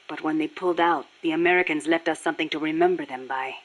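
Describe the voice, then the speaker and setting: A fairly low-pitched female revolutionary with a Nicaraguan Spanish accent. She is a video game guerrilla, solemn and earnest, carrying a bitter passion for her cause. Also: steady tone, fast, rough recording. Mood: solemn, proud. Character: passionate, embittered young Nicaraguan Sandinista guerrilla woman. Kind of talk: scripted video game voice acting.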